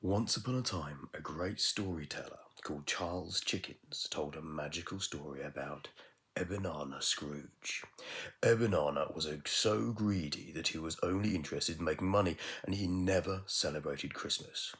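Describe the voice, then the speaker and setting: A man reading a children's story aloud in a measured, expressive way with dramatic pauses. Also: very deep voice. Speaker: teacher reading a bedtime story.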